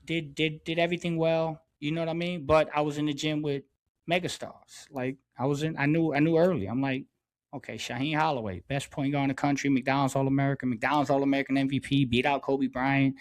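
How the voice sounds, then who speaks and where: A man's voice: medium pitch, flat delivery, a little fast. A man in his forties telling stories in casual, slangy podcast style, emphatically repeating his phrases for effect. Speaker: podcast host, basketball coach.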